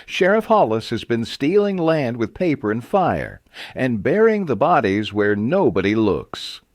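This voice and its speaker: Guy doing a quiet cowboy standing up for himself, western audiobook, defiant n clear, lots of swing in the voice. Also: medium pitch, unhurried, dry recording, clean studio sound, pitched above the performer's own voice. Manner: clear, calm, loud declarations. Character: quiet wronged cowboy, steady and defiant under accusation.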